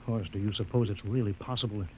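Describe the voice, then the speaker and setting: An actor playing a stern, skeptical rocket ship captain in a vintage radio drama, with a commanding manner. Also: male voice, fairly low pitch, fairly even intonation, fast talker, highs cut off, heavy background noise, close to an everyday voice. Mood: bewildered, reasoning. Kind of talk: 1950s radio drama acting.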